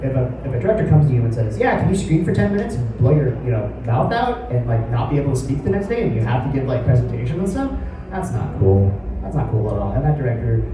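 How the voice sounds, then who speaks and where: A man's voice: medium pitch, big pitch swings, fast. A man speaking as a voice actor at a convention panel, answering questions in a rambling, self-deprecating, apologetic way. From United States. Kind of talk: casual panel Q&A. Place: large convention panel room. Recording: echoey hall, distant mic, room noise.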